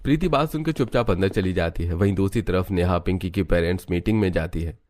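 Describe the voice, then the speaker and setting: A man's voice: sits low, fast, darkish tone. A man narrating a scripted family story as a storyteller.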